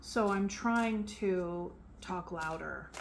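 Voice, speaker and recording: Slow, soft-spoken woman tarot reader. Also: lowish voice, creaky. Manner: relaxed, chatty, frequent fillers. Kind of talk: casual tarot reading to camera. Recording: faint background noise.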